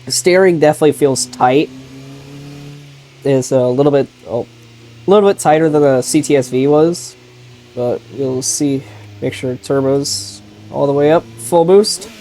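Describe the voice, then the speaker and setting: A man's voice: medium pitch, measured pace, dark tone, a little hiss or hum. Stuffy-nosed man commenting on gameplay. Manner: rambling and easygoing, with self-corrections and sudden exclamations while driving. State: stuffy-nosed from a sinus infection. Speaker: sim racing video creator.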